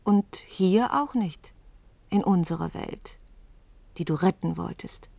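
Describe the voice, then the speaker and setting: Creaky-voiced, wry, sorrowful woman witness. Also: fairly low pitch, slow, mellow, exaggerated voice. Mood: wistful, bitter. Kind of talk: 1970s German radio play acting.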